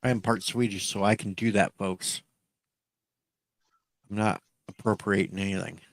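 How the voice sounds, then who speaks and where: A man hosting a casual talk show, cracking jokes in a deadpan way. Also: fairly low pitch, moderate pace, creaky, no room sound. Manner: deadpan joker. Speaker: show host. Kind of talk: casual chat.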